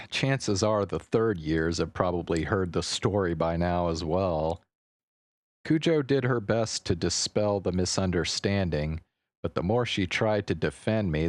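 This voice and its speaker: Man, low voice, dry sound, measured read-aloud narration, visual novel streamer. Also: dry recording.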